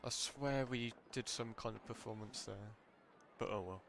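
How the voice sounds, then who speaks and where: A man streaming a video game with an average-pitched voice. He comments casually and offhand, musing aloud while game music plays behind him. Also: moderate pace. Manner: offhand, musing, unsure recall. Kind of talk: casual live gameplay commentary.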